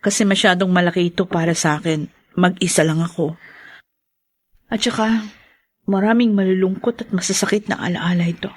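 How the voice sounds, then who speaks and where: A woman's voice: lowish voice, fairly even intonation, a little fast, pauses often, close to an everyday voice. A young woman with a Filipino accent acting as a wounded but hopeful orphan in a radio drama. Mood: calm, resolved.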